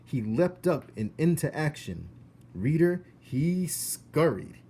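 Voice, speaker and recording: A man reading a children's storybook aloud in an expressive narrating style. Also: on the high side, slow pace, breathy edge.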